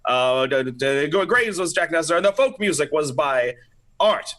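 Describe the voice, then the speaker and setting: A man with a slightly high voice reads as narrator and announcer of an old radio drama. His delivery is informal and playful, with stumbles over the script. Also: lively intonation, moderate pace, fairly bright tone.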